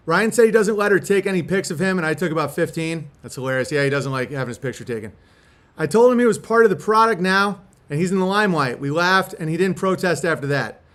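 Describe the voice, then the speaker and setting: A man with a distinctly high-pitched voice hosts a casual livestream. He moves between reading aloud and chatting in a laid-back, slangy way, with loose swearing. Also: fairly bright tone. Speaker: host reading a fan letter aloud.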